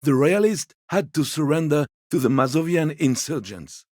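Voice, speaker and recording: An actor voicing a gentle, sociable elderly man in a video game, courteous and wistful, teasing softly and taking his time. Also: on the high side, expressive, normal speed, no room sound, studio quality, lightly acted. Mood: reflective. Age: 70s or older. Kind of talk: video game character dialogue.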